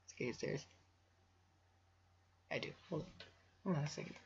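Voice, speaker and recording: A man's voice: on the high side, normal speed, vocal fry, slight background noise. A man reading a story aloud with casual joking asides, stumbling over words and rambling self-deprecatingly. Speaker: amateur fanfiction reader. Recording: muffled lo-fi home recording.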